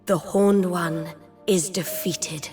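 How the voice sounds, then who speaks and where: An actress playing an otherworldly, loving and remorseful queen in a fantasy game, solemn, formal and measured. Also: lowish voice, steady tone, music in the background. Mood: resigned. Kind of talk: theatrical fantasy game acting.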